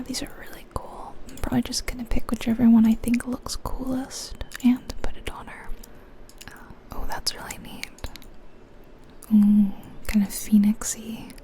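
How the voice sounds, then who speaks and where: A woman's voice: fairly high pitch, warm tone. A woman whispering in an ASMR style, musing to herself as she gives commentary on a video game. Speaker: ASMR creator.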